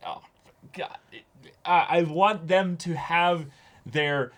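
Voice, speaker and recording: A young man in casual discussion as co-host of a reaction show, often repeating words and acting out moments as he talks. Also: fairly high pitch. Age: young adult. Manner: animated, halting and repetitive, acting out moments. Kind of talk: casual chat, episode discussion. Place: small indoor room, seated before a backdrop.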